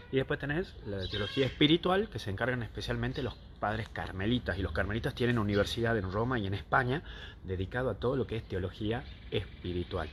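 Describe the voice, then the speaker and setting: Argentine priest guy, 30s, chatty and jokey, mid pitch, phone at arm's length, little bit of noise behind. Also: a bit bright. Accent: Argentine Spanish. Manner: chatty, joking, explanatory. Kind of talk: casual catechesis to camera. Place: outdoor courtyard, then indoors. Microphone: phone held at arm's length.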